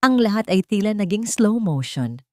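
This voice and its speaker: A woman's voice: medium pitch, very animated, moderate pace, mellow, dry recording. A woman narrating a scripted drama in a solemn, emotive storytelling manner.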